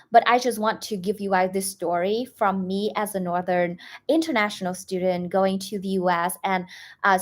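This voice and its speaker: A young woman from northern Vietnam with a Northern Vietnamese accent, speaking English as a second language and telling stories casually on a livestream, full of "like" fillers and restarts. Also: medium pitch. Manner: chatty, many "like" fillers and restarts. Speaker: YouTuber.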